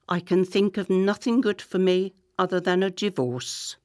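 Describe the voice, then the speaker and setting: A woman acting a discreet, ambitious campaign manager in a radio play, controlled, deferential and deliberate. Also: mid-range voice, darkish tone, natural voice. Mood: troubled, weary.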